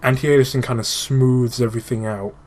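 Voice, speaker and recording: A man's voice: mid-range voice, steady tone, a little fast, a little mellow. A man giving casual, informal gaming commentary as a let's play host, swearing freely and explaining technical details.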